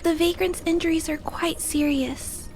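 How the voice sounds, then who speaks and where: High-voiced, earnest little girl. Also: fairly even intonation, unhurried, over music. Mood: pleading. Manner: polite, soft-spoken, hesitant, careful phrasing. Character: gentle, earnest, kind-hearted little girl, shy but resolute. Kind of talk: video game voice acting.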